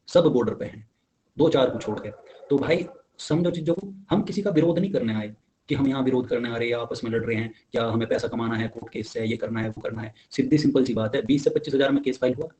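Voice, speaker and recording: Young man, mid-pitched mellow voice, informal peer-to-peer update, insistent, exhorting delivery. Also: lo-fi sound, a little hiss or hum. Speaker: exam candidate giving updates to fellow applicants. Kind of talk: informal spoken update, addressing peers.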